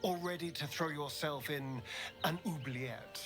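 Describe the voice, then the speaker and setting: An actor playing a flamboyant, wry vampire rogue in a video game, with an arch, teasing, theatrical manner. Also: male voice, a little high, a little slow, a bit bright, soft background music. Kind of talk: video game companion dialogue.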